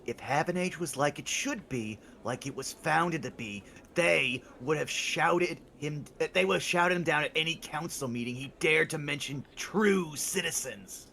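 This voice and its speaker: A man's voice: a little high, a little slow, creaky, few pauses, bright, forceful tone, a little hiss or hum, full character voice. A man voicing a wry, defiant drifter in a frontier space bar, live while playing a game. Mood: scornful. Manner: scornful and laid-back.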